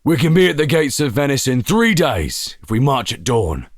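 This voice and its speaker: A man voicing a stout, gruff, confident French general in an audio drama. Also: medium pitch, very animated, moderate pace, no room sound, studio quality, pitched above the performer's own voice. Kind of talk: audio drama character acting.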